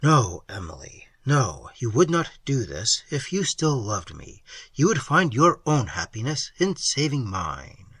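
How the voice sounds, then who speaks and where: A wild, impassioned young lover, as a male reader plays him, pleading in a bright, forceful voice that swings up and down. The sound is close and dry, with a slight husky edge. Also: mid-range voice, sing-song, measured pace, dry recording, full character voice. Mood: despairing. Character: young man, desperate, ruined and frantic lover. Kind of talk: audiobook reading of a classic novel.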